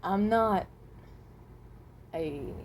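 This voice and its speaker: A woman voicing a weary, self-loathing animatronic rabbit, halting and often trailing off, in an amateur improvised monologue. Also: medium pitch, slight background noise. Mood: hesitant, awkward.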